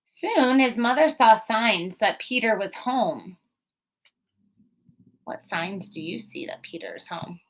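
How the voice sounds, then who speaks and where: Expressive woman teacher reading aloud. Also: mid-range voice, takes it slowly. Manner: expressive, explanatory, addresses young children. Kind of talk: storybook read-aloud with guiding questions.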